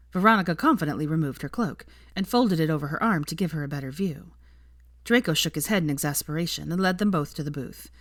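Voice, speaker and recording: A woman's voice: low-pitched, dry recording, clean studio sound. A woman reading scripted fiction aloud as an audiobook narrator.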